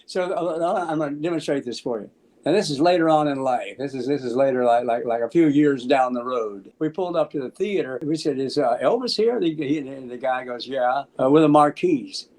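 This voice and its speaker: An American man in his seventies casually telling anecdotes in an animated, halting way, acting out the dialogue. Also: medium pitch. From Memphis, United States. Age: 70s. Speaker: interview guest, musician. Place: small room at home, on a video call.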